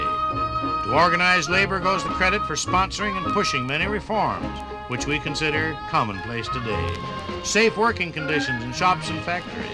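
An American man giving a scripted 1950s radio documentary narration with dramatic, measured phrasing. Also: fairly high pitch, rough recording.

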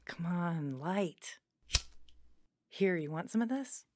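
A woman's voice: fairly low pitch, expressive, slow, noisy background. An actress playing a wary, cautioning female companion in an audio drama. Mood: wry, cautious.

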